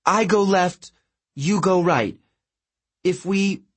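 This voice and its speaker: Guy doing a flustered girl hero from a fantasy book, high pitch, urgent, kinda husky. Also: big pitch swings, measured pace, fairly bright tone, full character voice. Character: anxious, stammering young heroine who clings to plans.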